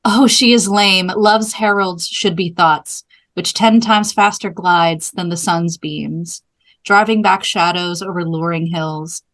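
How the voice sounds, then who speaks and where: A woman reading the part of an impatient, pleading, lovestruck young girl from a Shakespeare play in measured verse. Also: on the low side, a bit bright. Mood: impatient, yearning. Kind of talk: Shakespeare play read aloud.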